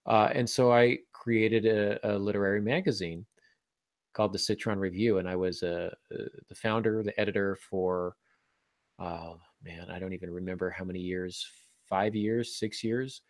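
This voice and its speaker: A man's voice: on the low side, steady tone, normal speed, dry recording. A man co-hosting a podcast, talking casually and reflectively in hesitant phrases. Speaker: podcast co-host and writer.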